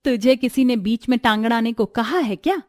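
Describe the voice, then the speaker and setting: A woman's voice: fairly high pitch, brisk, a little mellow, no echo, clean studio sound, natural voice. A woman voicing a spoiled young sister-in-law who is bossy, snippy and mocking in a family story. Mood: demanding. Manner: snippy, demanding.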